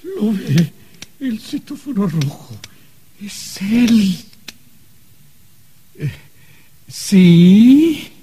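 An actor playing a fussy, anxious archangel clerk in a radio drama, theatrical and easily flustered. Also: male voice, sits high, sing-song, very slow, long pauses, warm tone, a little hiss or hum, full character voice. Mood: nervous. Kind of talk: Spanish-language radio drama acting.

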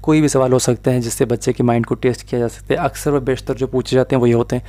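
Male law tutor, Pakistani Urdu accent, flat, dark, mellow delivery; lecture over slides. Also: medium pitch, fast talker. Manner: mixes Urdu-inflected Hindi with English legal terms, steady explaining.

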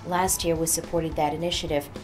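Lowish-voiced woman explaining over faint music. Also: little pitch movement, brisk. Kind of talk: explanatory feature talk.

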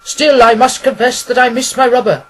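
Man acting a gloomy, high-voiced banker. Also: steady tone, normal speed, fairly bright tone, poor audio. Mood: stiff, deferential. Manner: mournful, stiffly formal. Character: gloomy, solemn, respectable male bank director.

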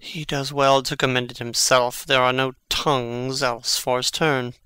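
An actor playing Hamlet, a brooding, witty young prince, in a measured, theatrical Shakespearean reading. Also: male voice, medium pitch, flat delivery, pushed and bright, clean tone. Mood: wry, scornful. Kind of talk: dramatic reading of Shakespearean verse.

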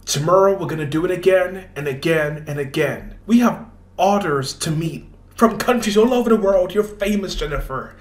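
A woman playing a cold, gloating villain doctor with a calm, mock-soothing, menacing manner. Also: on the low side, normal speed, slight background noise, close to an everyday voice. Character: cold, gloating doctor running a cruel operation. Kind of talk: melodramatic villain roleplay. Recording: echoey room.

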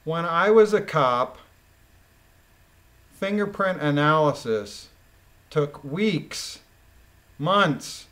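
Male amateur commentator, slightly higher pitch, reads documents aloud with informal, emphatic asides. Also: animated, very slow, long pauses, a bit bright. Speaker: commentator, former police officer. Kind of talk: reading a court transcript aloud with informal commentary.

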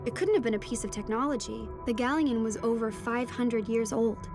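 A woman playing a teenage daughter, telling her adventure in measured first-person narration for an audio drama. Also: on the high side, natural voice. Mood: reflective. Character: teenage daughter recounting a deep-sea adventure. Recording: music behind the voice.

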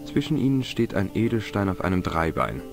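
Young game sleuth, lowish voice, dry, measured, curious, clear game music behind. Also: male voice, very animated, exaggerated voice. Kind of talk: video game dubbing.

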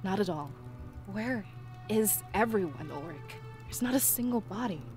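A woman's voice: medium pitch, expressive, normal speed, music in the background, natural voice. An actress playing an earnest, questioning young female fox-like villager in a video game, serious and insistent. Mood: troubled, insistent.

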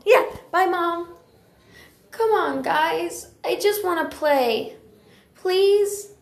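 A woman voicing an earnest, eager young apprentice cat in a childlike, pleading way, as for animated cartoon lines. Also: very high-pitched, very slow, vocal fry, reverberant, exaggerated voice. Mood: flustered, pleading. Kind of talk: character voice lines for a fan animation.

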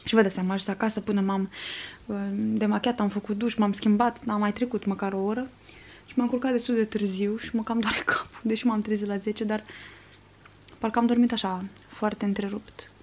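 A woman's voice: medium pitch, little pitch movement. A woman in her twenties from Romania, a vlogger chatting to camera in a casual, rambling, conversational way. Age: 20s. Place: small furnished room at home, indoors.

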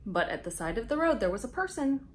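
A woman in her thirties reads a picture book aloud to young children as host, with expressive, drawn-out emphasis, recorded indoors on a camera mic. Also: a little high, a little hiss or hum.

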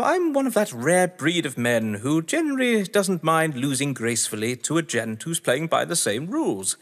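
A man's voice: medium pitch, fairly bright tone. A man acting in an audio drama as a witty, haughty husband under questioning, verbose, sardonic and theatrical. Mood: bitter, sardonic. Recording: echoey hall-like reverb.

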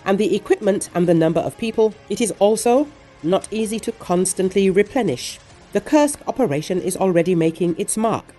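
A woman reading a scripted news report in a measured, even voice, relaying quotes from others. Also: medium pitch. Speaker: news narrator. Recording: voice-over with faint music underneath.

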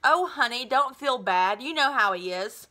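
A woman voicing a weary, gently apologetic mother of a teenager, soothing and resigned, in a novel read-aloud. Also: fairly high pitch, animated, moderate pace.

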